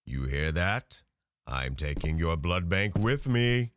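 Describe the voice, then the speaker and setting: A man playing a pious, menacing vampire hunter in an ASMR roleplay, with formal, taunting, gentlemanly speech. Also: low voice, sing-song, slow, background noise, heavily acted. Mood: mocking, threatening.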